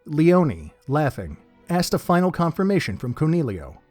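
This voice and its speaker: Expressive male storybook narrator, lively. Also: fairly high pitch, normal speed. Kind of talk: storybook audio drama narration. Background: faint music.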